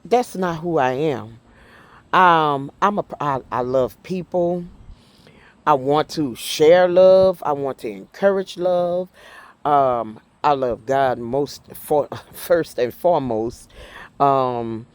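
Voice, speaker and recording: Slow, halting middle-aged woman vlogger. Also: low-pitched. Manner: earnest, halting, with many fillers and restarts; heartfelt and faith-driven. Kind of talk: casual personal talk to camera.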